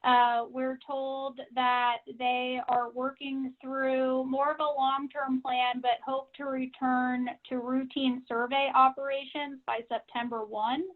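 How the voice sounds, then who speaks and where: A woman giving a measured, businesslike informational briefing to members over a conference call, on a tinny phone line. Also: high-pitched, flat delivery. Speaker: association staff member giving member updates.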